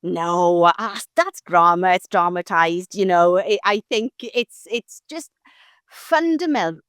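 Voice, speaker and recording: A woman's voice: on the low side, slow, a bit bright, smooth, dry recording, clean studio sound. A Welsh woman in her thirties, a former diplomat, talking thoughtfully in a podcast interview with many fillers and restarts. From South Wales.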